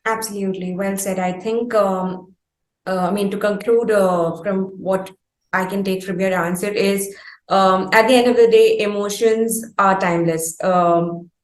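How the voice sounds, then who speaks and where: A woman with an Indian accent interviewing at length, phrasing her questions in a wordy, halting, reflective way. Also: mid-range voice, fairly even intonation. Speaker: interviewer, host. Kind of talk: conversational long-form music interview. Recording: echoey, roomy sound.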